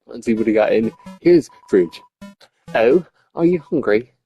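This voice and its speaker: A man's voice: mid-range voice, lively intonation, measured pace, dark tone, slightly rough, muffled recording, faint music behind. A man voicing a shy, lonely, apologetic game character who stammers and speaks in a put-on accent. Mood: awkward. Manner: hesitant, stammering, self-effacing. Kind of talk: reading game dialogue aloud.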